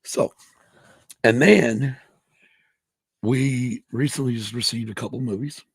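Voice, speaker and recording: A man co-hosting a casual podcast, hoarse from allergies, who rambles, restarts and swears a lot. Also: mid-range voice, slow pace, no echo. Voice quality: gravelly, husky. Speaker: podcast co-host. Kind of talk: casual chat.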